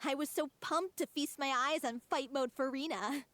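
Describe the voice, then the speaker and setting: A woman's voice: high-pitched, normal speed, a bit bright, slight background noise. A woman acting a breezy, teasing, confident young adventurer in an anime game.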